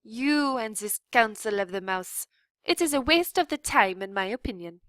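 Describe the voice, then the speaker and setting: A woman's voice: a little high, animated, moderate pace, a bit bright, no echo, studio quality, voice pushed up. A woman voicing a vain, languid mother mouse with a sighing, dismissive manner.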